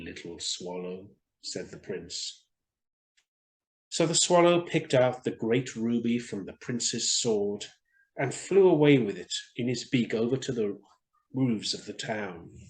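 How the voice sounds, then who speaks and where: A man's voice: medium pitch, a little mellow, poor audio. A man reading a story expressively for children, in a theatrical and friendly storyteller's manner.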